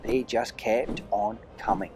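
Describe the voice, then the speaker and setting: A man's voice: fairly low pitch, takes it slowly, over music. A man narrating an adventure audiobook as a dramatic, theatrical storyteller with emphatic delivery.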